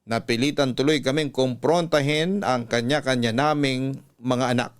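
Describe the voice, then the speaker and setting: A man's voice: mid-range voice, steady tone, moderate pace, smooth, soft background music, close to an everyday voice. A man with a Filipino accent reading a mother's letter as scripted radio storytelling. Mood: reflective. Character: mother narrating her letter about her daughter's romance.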